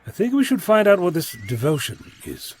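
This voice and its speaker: A man acting in an audio drama as an eccentric, self-assured time traveller, wordy, breezy and gently lecturing. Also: sits high, very animated, moderate pace. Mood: puzzled, wry.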